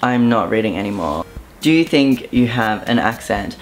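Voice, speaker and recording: Relaxed young Australian man vlogging. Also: fairly low pitch, moderate pace, slight background noise. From Southeast Queensland, Australia. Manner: relaxed, offhand, many ums. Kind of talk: casual vlog monologue.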